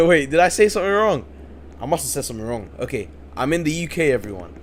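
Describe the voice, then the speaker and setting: A man's voice: fairly high pitch, lively intonation, fast talker, a little hiss or hum. A man commentating a live-streamed game event late at night, tired but hyped, repeating himself a lot. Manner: hyped and repetitive, keeps saying "you guys". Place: home, in the UK.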